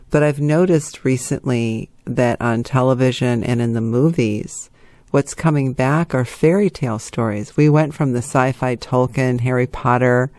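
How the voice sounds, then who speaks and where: Male interview host, mid-pitched, conversational and explanatory, very dry close sound. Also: darkish tone, dry recording. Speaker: show host.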